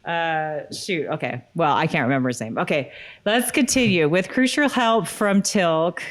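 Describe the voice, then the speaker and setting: A woman's voice: low voice, normal speed. Woman co-hosting a podcast. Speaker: podcast co-host. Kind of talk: casual chat with stretches of reading a written recap aloud.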